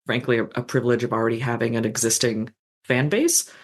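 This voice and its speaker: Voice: mid-range voice, steady tone, fast. A podcast guest, a fan-fiction author, talking casually and thoughtfully, with many restarts and "um"s. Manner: thoughtful, rambling with restarts and fillers.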